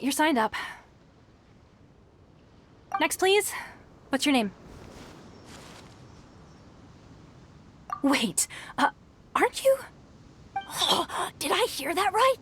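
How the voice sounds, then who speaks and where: A woman's voice: high-pitched, sing-song, slow pace, long pauses, a little hiss or hum, exaggerated voice. A woman playing a polite, earnest young tournament registrar in a video game, speaking hesitantly. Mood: flustered, surprised. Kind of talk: video game character acting. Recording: light game music behind.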